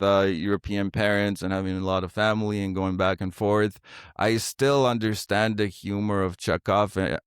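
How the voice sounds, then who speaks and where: Bosnian-born theater guy in his 30s, smooth voice, lots of ums and restarts, chatty interview. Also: lowish voice, no room sound, clean studio sound. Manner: halting, many fillers and restarts. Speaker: theater director and actor. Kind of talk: casual interview. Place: podcast studio.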